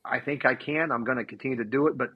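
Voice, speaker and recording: A man in his forties speaks in an earnest, halting way and often restarts his sentences. His voice is husky and sits at a middling pitch. The recording is muffled and lo-fi. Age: 40s. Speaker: racing driver and team owner. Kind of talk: casual podcast interview.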